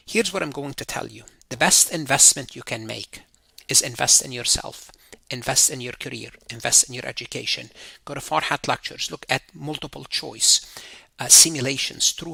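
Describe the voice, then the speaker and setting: Bright, forceful man teaching accounting. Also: medium pitch, creaky, no echo. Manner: didactic, asks and answers own questions. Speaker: accounting exam lecturer. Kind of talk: casual step-by-step tutorial explanation.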